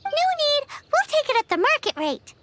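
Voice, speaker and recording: A woman's voice: very high-pitched, sing-song, normal speed, very noisy. A woman voicing a tiny, chatty fairy-like girl companion who is bossy and cheeky in a game. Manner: bossy, chirpy, speaks of herself by name. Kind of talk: game dialogue.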